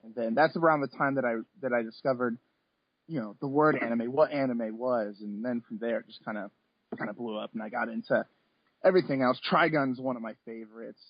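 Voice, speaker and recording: A man's voice: mid-range voice, brisk, no echo. A man in his thirties speaking casually as an interview guest, in a hesitant, rambling conversational way. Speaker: interview guest, comic and anime artist. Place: remote call from home. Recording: slightly dull, lo-fi call audio.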